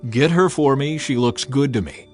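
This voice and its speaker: A man's voice: medium pitch, normal speed, music in the background, close to an everyday voice. A man playing a proud, defiant, vengeful young strongman in a dramatized scripture audiobook, speaking emphatically. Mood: bold, challenging.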